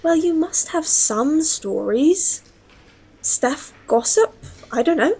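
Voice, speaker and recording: A woman's voice: sits high, expressive, slow, dark tone, heavily acted. A woman playing a female English professor who starts hearing strange voices, talking in a guarded, hesitant way. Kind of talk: audio drama acting.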